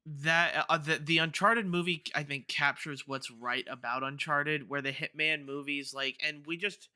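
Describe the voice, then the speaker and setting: Male co-host, strikingly bright voice, dry close sound, casual stop-and-start podcast chat. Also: fairly high pitch, fairly even intonation, normal speed, dry recording.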